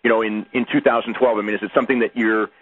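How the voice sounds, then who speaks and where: Husky, halting man on tinny phone. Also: lowish voice, little pitch movement, no room sound. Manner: halting, repeats words, rambling. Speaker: sports reporter. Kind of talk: spontaneous press questioning. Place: conference call over phone. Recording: tinny telephone conference line.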